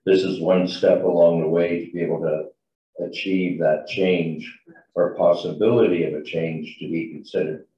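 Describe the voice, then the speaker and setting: A man's voice: deep voice, steady tone, moderate pace, mellow. A man speaking as a councillor, putting careful, tentative questions at a formal council meeting. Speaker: town councillor. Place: large meeting room, indoors. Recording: distant, dull, echoey meeting room audio.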